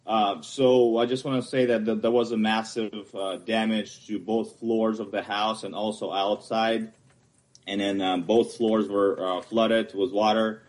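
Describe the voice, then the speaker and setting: A man from Ukraine with a Ukrainian accent, English not his first language, haltingly explaining his property appeal at a hearing. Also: fairly low pitch, fairly even intonation, a little mellow. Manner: halting, searching for words. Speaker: homeowner appealing a property assessment.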